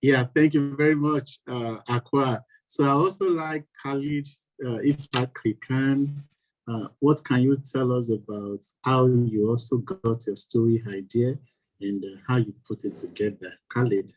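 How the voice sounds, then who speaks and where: A man's voice: medium pitch, fairly even intonation, unhurried, a little mellow, rough recording. A man moderating a webinar panel, asking questions hesitantly with many fillers.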